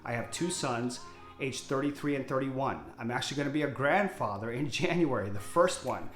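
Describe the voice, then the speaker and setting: A man's voice: medium pitch, normal speed, fairly bright tone, reverberant, soft background music, low-quality recording. A man in his fifties from Hawaii, introducing himself to camera as a real estate agent in a candid, upbeat way. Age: 50s. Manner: candid, talkative, upbeat salesman. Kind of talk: direct-to-camera self-introduction.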